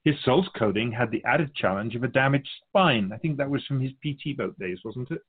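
A man playing a wise otherworldly guide of souls, teaching in a measured, philosophical and gently instructive conversational way. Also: mid-range voice, animated, breathy edge, narrow, tinny audio. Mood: reflective, explaining. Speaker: guest answering questions. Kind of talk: conversational spiritual teaching, question and answer.

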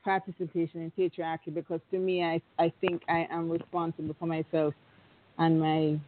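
A woman's voice: low voice, completely flat delivery, slight background noise. A woman, a guest on a radio panel, speaking reflectively and restarting her sentences often. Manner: reflective, halting with many restarts. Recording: phone-like narrow sound.

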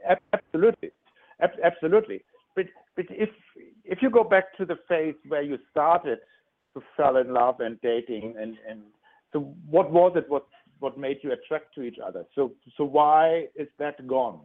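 A man's voice: a little high, normal speed. A man in his sixties with a German accent, a relationship expert explaining his ideas in an interview in an earnest manner, often restarting his words. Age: 60s. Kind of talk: interview answers. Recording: phone-like narrow sound.

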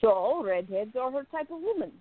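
A woman playing a jealous, besotted young woman confiding in her diary in a radio play, intimate, expressive and musing. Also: high voice, moderate pace, no echo. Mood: curious, musing. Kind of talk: dramatic first-person diary narration in a radio play. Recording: narrow phone-like lo-fi sound.

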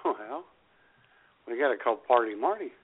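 A man's voice: mid-range voice, expressive, normal speed, husky. A man hosting a radio show with casual, teasing comedic banter. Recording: lo-fi radio sound, faint noise.

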